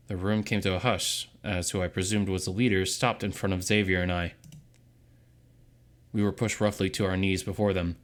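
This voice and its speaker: Man, very low pitch, sharp tone, scripted dramatic narration, first-person visual novel reading.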